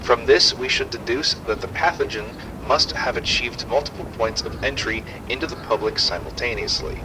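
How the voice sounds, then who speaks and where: A man playing a measured, analytical outbreak investigator, giving a precise formal report in a game audio log. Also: medium pitch, noisy background, lightly acted. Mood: clinical. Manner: clinical, precise.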